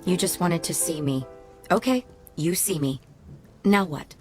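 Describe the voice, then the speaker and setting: Lowish-voiced, sardonic, guarded ex-wife. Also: female voice, expressive, a little slow. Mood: curt, guarded. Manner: sardonic, clipped, reproachful. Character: bitter, guarded, sarcastic ex-wife, no-nonsense woman. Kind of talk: radio drama acting. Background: faint background music.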